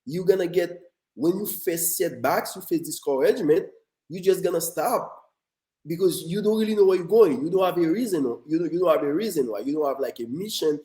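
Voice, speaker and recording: A man's voice: fairly high pitch, fairly quick, dark tone. A man from Haiti with a Haitian accent, a guest on an interview show earnestly telling his life story, often repeating words as he goes. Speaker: guest, motivational speaker. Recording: echoey room.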